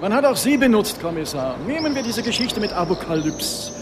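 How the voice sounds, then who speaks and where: A man playing a smooth, evasive disgraced official in a radio crime drama, urbane and mock-polite. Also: sits high, big pitch swings, brisk, background noise. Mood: knowing, sardonic.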